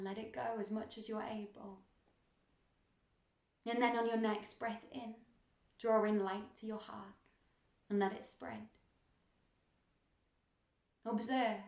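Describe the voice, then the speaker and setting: Woman guiding meditation in a roomy space. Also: medium pitch. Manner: gentle, soothing, unhurried instruction with long pauses. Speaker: meditation guide. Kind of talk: guided meditation. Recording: roomy, echoing sound.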